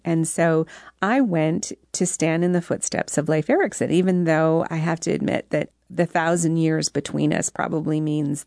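A woman's voice: deep voice, creaky, darkish tone, no room sound, clean studio sound. A woman speaking as an author being interviewed, explaining things in a thoughtful, articulate, conversational way.

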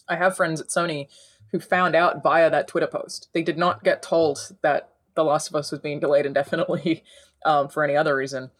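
Deep-voiced Australian woman, chatty. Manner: laughs often, chatty and animated. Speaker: podcast co-host. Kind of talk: casual chat.

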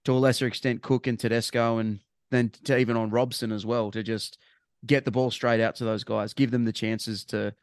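A man's voice: medium pitch, level tone, brisk, no room sound. Australian man, podcast co-host, casual footy chat, rambling and emphatic, dry close sound. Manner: rambling, restarts phrases, emphatic.

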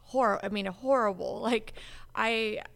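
A woman's voice: fairly high pitch, slow, a bit bright. A woman from Hawaii in her thirties, a guest in a casual interview, talking in a laid-back way with frequent fillers.

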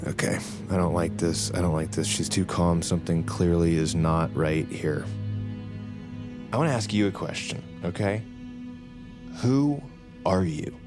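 A detained assassin in an audio drama, with a fairly low voice. He is tense and halting, pleading through strained politeness. Music plays clearly behind him. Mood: suspicious, agitated. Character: tense, desperate detained male assassin insisting he is sane.

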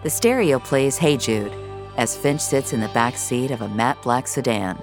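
A woman's voice: extremely low pitch, normal speed, music in the background. A woman giving a dramatic scripted narration of a fiction story.

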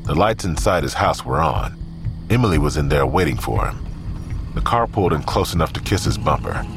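A man's voice: rumbling low, lively intonation, fairly quick, vocal fry. A man narrating a scripted audio drama as a storyteller. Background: music.

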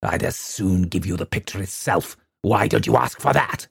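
Man doing bitter old collector father, snarling, scornful, deep voice, dry close sound. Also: measured pace, no echo. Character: irascible old male art-collector father. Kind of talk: audiobook reading.

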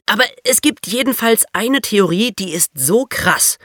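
An eager, chatty boy tells stories in a scripted podcast. His voice is high and very lively, with a bright, forward edge and a dry, close sound. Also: unhurried, no echo, exaggerated voice. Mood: excited storytelling. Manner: eager, animated storyteller. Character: excitable, chatty boy sharing investigated theories. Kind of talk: scripted podcast banter and storytelling.

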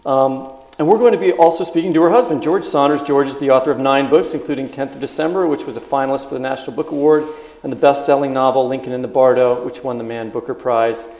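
A man's voice: mid-range voice, quick pace, a little mellow. Man hosting live, roomy hall sound. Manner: reads a scripted introduction, then asks loose conversational questions. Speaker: podcast co-host. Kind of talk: live podcast interview. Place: stage at a live book festival event.

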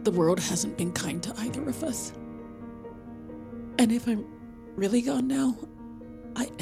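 Young mother, audio drama: tender, anxious, halting delivery with gravelly fry, music underneath. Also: mid-range voice. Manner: halting, tender, emotionally weighted. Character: grieving, loving young mother, anxious and resolute. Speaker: actress and narrator in audio drama. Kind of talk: intimate audio drama monologue and narration.

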